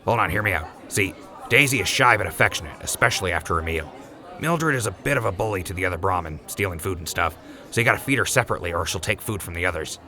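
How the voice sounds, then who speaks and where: A laid-back young rancher chats in a fairly low but bright, forward voice, as a man plays him. He talks quickly and jokes easily, in a fan audio drama. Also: animated. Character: easygoing young male wasteland rancher.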